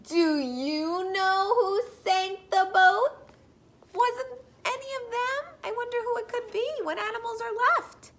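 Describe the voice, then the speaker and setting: A woman reading a children's picture book aloud expressively, in a sing-song way, pausing to put questions to young listeners. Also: high voice, pushed and bright.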